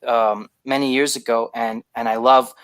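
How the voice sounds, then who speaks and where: A man with a smooth, clear voice of middling pitch answers interview questions as a writer. He explains things thoughtfully and haltingly, restarting phrases, in a dry, close recording. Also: level tone, a bit bright, dry recording. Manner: halting, explanatory, repeats words as he formulates. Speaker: guest, fiction writer.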